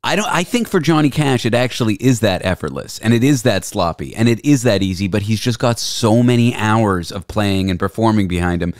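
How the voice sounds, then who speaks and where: Low-voiced man hosting a casual podcast. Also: brisk, hardly any pauses, no room sound, studio quality. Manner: relaxed storyteller, swears casually. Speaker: podcast host. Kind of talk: casual conversation.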